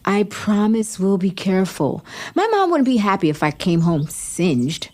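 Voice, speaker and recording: Skeptical, competitive teenage superheroine , acted by a woman: mid-pitched, very expressive intonation, audio drama. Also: unhurried. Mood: curious. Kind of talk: scripted audio drama acting.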